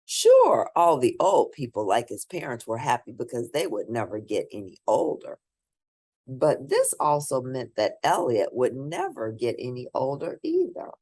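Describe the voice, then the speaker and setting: Woman reading a storybook, wildly animated pitch. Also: no room sound. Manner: theatrical, drawn-out emphasis for young listeners. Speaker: storybook reader. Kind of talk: expressive read-aloud of a children's picture book.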